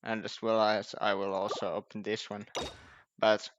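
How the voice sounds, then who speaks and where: A man giving casual gameplay commentary, thinking aloud in a halting, repetitive way as he plans. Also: lowish voice, little pitch movement, fairly bright tone, a little hiss or hum. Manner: halting, repetitive, planning as he goes. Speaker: gaming video creator. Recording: dull lo-fi mic, game music behind. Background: faint game music.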